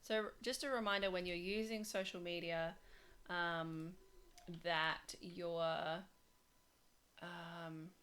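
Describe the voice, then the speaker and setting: A woman with a fairly low voice talks through a design tutorial, chatty and loose, padding her sentences with fillers and restarting mid-thought. Her voice sounds a little worn, as if she is losing it. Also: fairly even intonation, slow, fairly bright tone. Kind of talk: casual screen-share tutorial.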